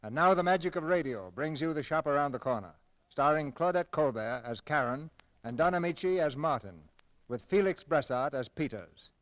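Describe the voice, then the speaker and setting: A man's voice: on the high side, lively intonation, moderate pace, a bit bright, dry recording, low-quality recording. A man hosting a radio theatre in scripted 1940s style, formal and theatrical in his delivery. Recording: old radio broadcast, music behind.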